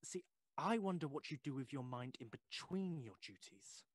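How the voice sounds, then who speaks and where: A male actor as an inquisitive Victorian gentleman in an audio drama. He has a mid-pitched voice, recorded close and dry, and talks with formal, polite curiosity. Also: lively intonation, normal speed, no echo, natural voice. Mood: curious. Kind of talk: audio play acting.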